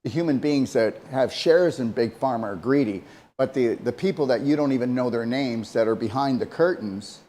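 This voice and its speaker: A man's voice: medium pitch, normal speed, dark tone. A man co-hosting a casual talk show, speaking emphatically and rambling, often repeating himself. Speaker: co-host.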